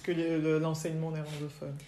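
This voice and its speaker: A woman hosting a podcast, relaxed and encouraging as she prompts her guest in casual conversation. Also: sits low, fast. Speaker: podcast host. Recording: echoey room with loud noise.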